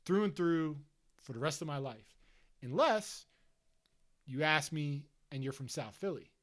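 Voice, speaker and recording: A man's voice: fairly high pitch, expressive, a little slow. A man from the Philadelphia suburbs co-hosting a casual book-club chat, thinking aloud in a rambling way with heavy use of "like". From Delaware County, Pennsylvania. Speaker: podcast co-host.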